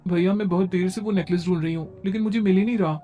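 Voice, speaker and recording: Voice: medium pitch, steady tone, quick pace, dark tone, soft background music, natural voice. A woman voicing a defiant, wronged younger sister in a cartoon story, protesting and pleading.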